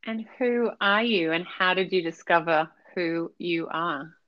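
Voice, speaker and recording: A woman's voice: lowish voice, measured pace, dry recording, dull audio. A woman hosting an interview, asking friendly and encouraging questions. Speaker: podcast host.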